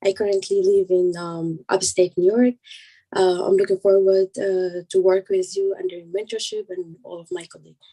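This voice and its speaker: A woman's voice: mid-range voice, fairly even intonation, normal speed, mellow, poor audio. A woman from Sudan giving a formal, courteous introduction on a video call.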